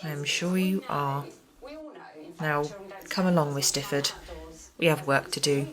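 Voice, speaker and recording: Stern care home boss for radio play, female amateur actor: low register, clipped, formal, bossy. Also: steady tone, unhurried, a crowd in the background. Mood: curt, authoritative.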